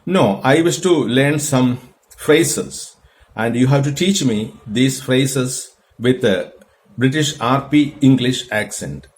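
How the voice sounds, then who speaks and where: Earnest Indian man with a Malayalam accent. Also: mid-range voice. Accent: Indian, Malayalam-influenced. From Kerala, India. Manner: earnest, deliberate, repeats and restarts phrases. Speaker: English tutor and group organizer. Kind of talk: spoken English lesson with an AI chatbot.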